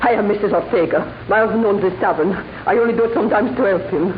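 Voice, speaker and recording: An actress playing a proud, defiant tavern keeper's wife in emphatic 1940s radio melodrama. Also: female voice, mid-range voice, fast talker, natural voice. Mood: proud, guarded. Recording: tinny, noisy 1940s radio recording.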